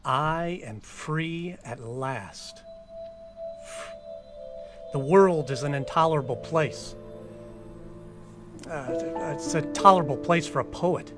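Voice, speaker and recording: A man playing a dreamy, nervy penniless poet, narrating his secret diary in a radio play with theatrical, deliberate phrasing and halting repeats. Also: a little high. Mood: elated. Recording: music under the voice.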